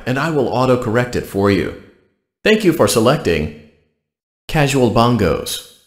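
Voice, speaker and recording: A man voicing a polite, deadpan, callous automated facility guide in a video game, giving scripted instructions in a measured, officious way. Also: lowish voice, echoey room. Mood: smooth, courteous.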